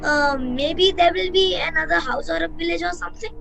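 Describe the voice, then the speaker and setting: A woman acts out a jittery young boy in a radio play, with a very high voice. He is anxious and hesitant, forever protesting, over clearly audible music. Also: a little fast, a bit bright, heavily acted. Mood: spooked, pleading. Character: nervous, imaginative young boy, reluctant brother. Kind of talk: amateur radio play acting.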